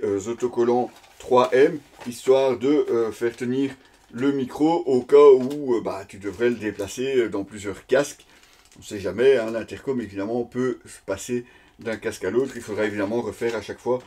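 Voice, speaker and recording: A man's voice: medium pitch, mellow. A man giving a casual, improvised product unboxing commentary, speaking conversationally and hesitantly as he searches for words. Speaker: product reviewer presenting an unboxing.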